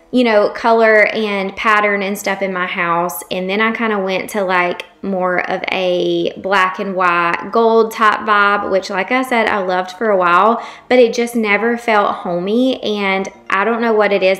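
A woman's voice: mid-range voice, a bit bright. A young woman, narrating her home vlog in a chatty, rambling way with plenty of fillers. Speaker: home vlogger. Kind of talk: casual voice-over narration.

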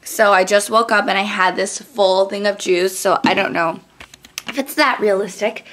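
A young woman in her twenties chatting to a handheld camera at arm's length, a little raspy from just waking, upbeat with many fillers. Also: medium pitch, fairly bright tone. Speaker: vlogger. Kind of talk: casual vlog chat to camera. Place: apartment rooms, gym and car. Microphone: handheld fisheye action camera at arm's length.

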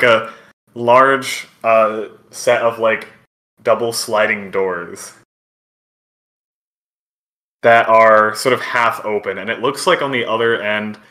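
A man improvising narration as game master, in halting, measured phrases. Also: medium pitch, sharp tone.

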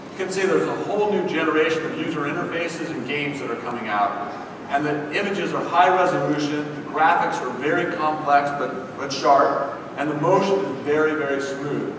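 Man presenting in an echoey hall. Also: fairly high pitch. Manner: polished, promotional. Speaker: keynote presenter. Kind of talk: corporate keynote presentation. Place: large conference hall stage. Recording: echoey hall from far off with noise.

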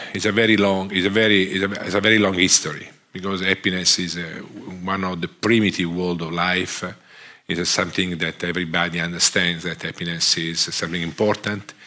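A man with a strong Italian accent gives a lecture from a theatre stage, speaking into a headset mic. His voice is low but bright and forceful in tone. He pauses on fillers and restarts his phrases often. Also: steady tone. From Italy. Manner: halting, many fillers and restarts. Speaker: guest lecturer. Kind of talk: academic lecture.

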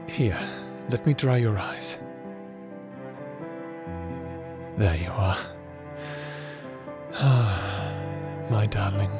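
A man playing a doting, villainous necromancer husband in a romantic ASMR audio drama, speaking in a theatrical, formal and tender manner. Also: fairly low pitch. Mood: tender, relieved.

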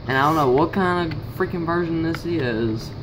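A man's voice: a little high, normal speed. A young man giving casual, exclamatory, teasing gameplay commentary as host, picked up on a handheld device. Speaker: video host. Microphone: handheld phone or camera. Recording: noisy handheld amateur recording, constant hum.

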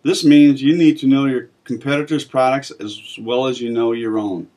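Man reading a measured editorial. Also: medium pitch, steady tone, a little mellow. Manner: measured, plain reading to camera. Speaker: magazine editor. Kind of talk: scripted editorial commentary. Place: small office with bookshelf, indoors.

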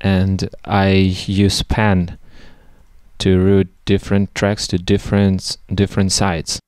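A man presenting a step-by-step software tutorial over a screen recording. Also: sits low, completely flat delivery, slow, clean tone, dry recording, studio quality.

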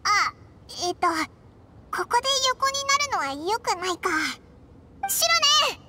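A woman voicing a tiny childlike fairy companion in an anime game, squeaky, fussing and bluntly boyish in phrasing. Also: big pitch swings, a little slow, pushed and bright, heavily acted. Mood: concerned, fussing.

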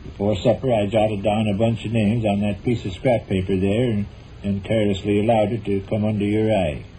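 Guy acting a stuffy long-suffering husband on old 40s radio, very mellow, formal, bit of hiss. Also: on the low side, little pitch movement, a little fast, lightly acted. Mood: dignified. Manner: pompous, formal, dry. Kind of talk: 1940s radio comedy acting. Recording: muffled, noisy old 1940s radio broadcast.

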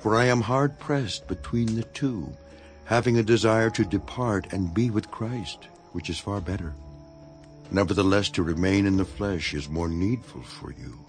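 Man acting a low-voiced, solemn imprisoned apostle. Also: soft background music, close to an everyday voice. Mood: fervent reflection. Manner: solemn, measured, earnest. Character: Apostle Paul, imprisoned, earnest, devoted elder writing to his flock. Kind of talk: dramatized scripture reading.

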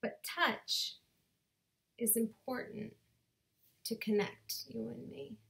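A woman in her thirties performing her own spoken word poem in a deliberate, rhythmic way, recorded on a webcam in her bedroom. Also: on the high side, steady tone, vocal fry, fairly bright tone, poor audio. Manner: slow, deliberate rhythmic delivery with dramatic pauses. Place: bedroom at home. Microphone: webcam at desk distance.

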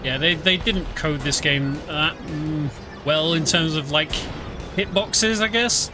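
A British man streaming a game, explaining it in a relaxed, filler-heavy casual commentary. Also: fairly high pitch, takes it slowly. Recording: game music behind.

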